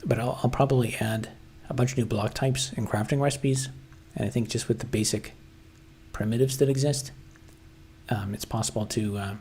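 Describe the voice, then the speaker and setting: A man with a tired, slightly hoarse voice, chatting casually on a livestream about his coding project. He clears his throat often and talks hesitantly with lots of fillers. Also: medium pitch, fairly even intonation, moderate pace, darkish tone, slight background noise. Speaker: software developer livestreaming. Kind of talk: casual livestream chat, answering viewers.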